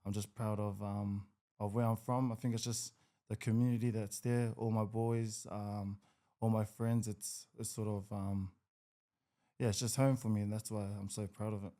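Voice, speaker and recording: A man in his twenties with a New Zealand accent, a podcast guest who talks in an easygoing, hesitant way with many pauses. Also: on the low side, flat delivery, warm tone. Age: 20s. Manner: easygoing, hesitant with many ums, laughs a lot. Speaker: guest, rugby league player. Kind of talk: casual podcast interview.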